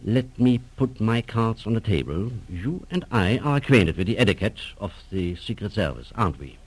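An actor playing a suave, menacing German professor and spymaster in a radio drama, with formal, courteous and calculating speech. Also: male voice, on the low side, animated, normal speed, husky. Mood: suave, sly. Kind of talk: 1940s radio drama acting.

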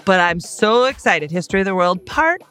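A woman's voice: mid-range voice, expressive, moderate pace, fairly bright tone, faint music behind. Playful woman co-hosting a chat show. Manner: playful, self-mocking, laughs often. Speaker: podcast co-host. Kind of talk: casual chat.

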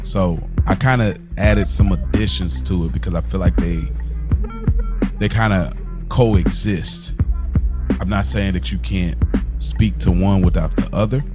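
Dude cohosting a podcast, lowish voice, loose wandering way of talking, music going behind him. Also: male voice, unhurried. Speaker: podcast co-host. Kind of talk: casual podcast conversation. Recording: music bed under the voice.

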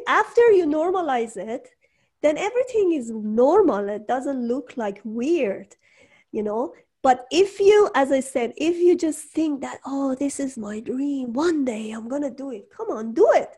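Slow-talking animated woman, English as second language. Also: sits high, slightly rough. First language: not English. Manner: animated, laughs often, acts out quoted voices. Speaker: podcast host and entrepreneur. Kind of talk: casual conversation.

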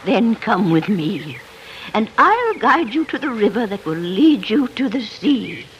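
A woman's voice: medium pitch, big pitch swings, unhurried, muffled recording. An actress playing a kindly, mysterious old woman of the forest in a 1940s radio drama, with a storybook delivery.

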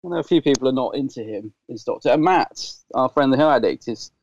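A man co-hosting a podcast, chatting casually and rambling through stories, his throat a bit dry and croaky. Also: medium pitch, brisk. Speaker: podcast co-host. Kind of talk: casual chat. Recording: dull lo-fi sound.

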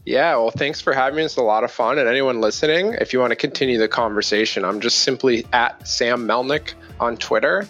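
A man's voice: medium pitch, a little fast, few pauses, fairly bright tone, highs cut off, faint music behind. A man explaining business informally as a podcast guest, thinking aloud and correcting himself as he goes. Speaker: podcast guest, marketing executive.

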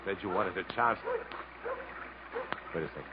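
An actor playing a determined, protective adventurer in an earnest, urgent, dramatic 1950s radio style. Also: male voice, sits low, moderate pace, lightly acted. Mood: urgent, hopeful. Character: determined, protective English adventurer stranded in the jungle. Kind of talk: 1950s American radio drama acting, with first-person narration. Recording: muffled, noisy 1950s radio broadcast.

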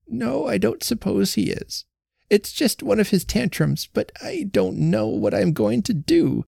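A fretful old countrywoman, as a male reader puts her on, her voice quavering high and thin with worry. She frets in rustic dialect, breathy and soft, slow as she dwells on her fears. The sound is dry and close. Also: sing-song, dry recording, full character voice. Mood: anxious fretting. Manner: tremulous, anxious, rustic dialect. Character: fretful timid old farm wife.

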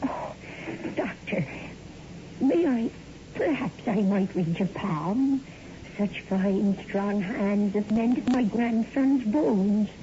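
A woman's voice: medium pitch, close to an everyday voice. An actress playing an old fortune teller, a humble yet foreboding grandmother, speaking portentously in a 1960s radio drama. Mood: mysterious, portentous. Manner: slow, portentous. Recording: dull, noisy old radio recording.

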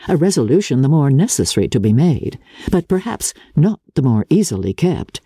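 A woman narrates classic literature with a mellow, husky voice and formal, measured phrasing. Her pitch rises and falls in a lively, dramatic way. The sound is close and dry, suited to storytelling. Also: no echo. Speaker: audiobook narrator.

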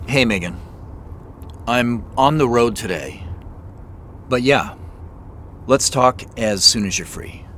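An actor playing a soft-spoken, remorseful younger brother in an audio drama, hesitant and self-deprecating in a natural way. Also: male voice, mid-range voice, animated, slow, long pauses. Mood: casual, low-key. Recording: faint road noise behind voice. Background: car driving ambience.

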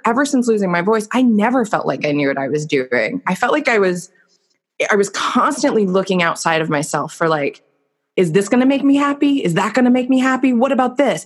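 A woman's voice: mid-range voice, lively intonation, fairly quick. A woman in her thirties, a podcast guest, telling her life story in a candid, reflective way with plenty of filler words. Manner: reflective, earnest, many fillers. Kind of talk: candid personal storytelling in conversation.

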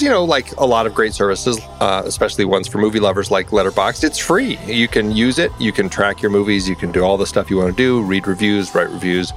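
Enthusiastic low-voiced male co-host. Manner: enthusiastic, rambling, filler-heavy. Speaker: podcast co-host. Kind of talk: casual chat with ad read.

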